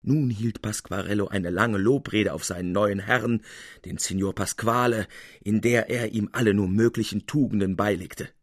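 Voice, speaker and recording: Husky male literary narrator. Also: on the low side, normal speed, no echo, clean studio sound. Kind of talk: scripted literary narration for radio.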